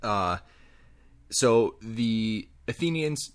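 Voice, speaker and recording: A man's voice: on the low side, animated, drawn-out words. A man on a home podcast, casually telling a history story full of ums and hedges. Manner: rambling, hedging storyteller with many ums. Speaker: podcast co-host. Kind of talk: casual chat.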